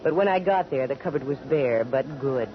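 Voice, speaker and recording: A woman's voice: rumbling low, normal speed, faint music behind. An American woman playing a witty, assertive private detective with dry humor and a self-assured manner, in 1940s radio drama style. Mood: wry narration. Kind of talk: 1940s radio drama acting and first-person narration. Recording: dull lo-fi 1940s radio recording.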